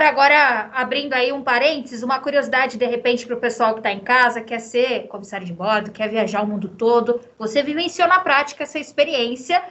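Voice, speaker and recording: A woman's voice: high voice, a bit bright. A woman hosting a program, interviewing a guest in a polite, formal way. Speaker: program host. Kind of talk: interview host.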